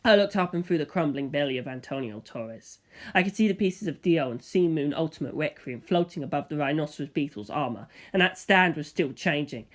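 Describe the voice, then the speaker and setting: Expressive audiobook style narrator reading a story, medium pitch voice. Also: a little fast.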